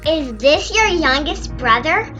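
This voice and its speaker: Woman as very high, bright palace lord. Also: lively intonation, unhurried, heavily acted. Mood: kindly. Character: commanding yet kindly Egyptian palace men, lord and steward. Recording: music behind the voice.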